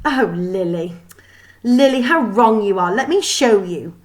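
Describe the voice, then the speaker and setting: A woman's voice: medium pitch, expressive, unhurried, voice pushed up, lightly acted. A woman voicing a haughty, contemptuous schoolgirl in a children's storybook, mocking and commanding. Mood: condescending. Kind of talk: children's storybook read aloud.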